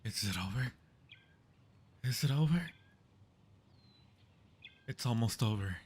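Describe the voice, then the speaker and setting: A man's voice: medium pitch, lively intonation, slow, husky. A man hosting a livestream, giving casual, playful, wry commentary on a film he is watching. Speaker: streamer host.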